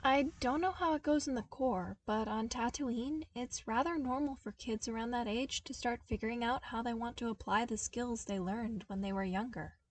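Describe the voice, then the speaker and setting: A woman's voice: on the high side, moderate pace, few pauses, natural voice, low-quality recording. A woman voicing a young man, a defensive former smuggler in an audiobook, who explains things plainly and dismissively. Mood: calm, dry. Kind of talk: audiobook character dialogue.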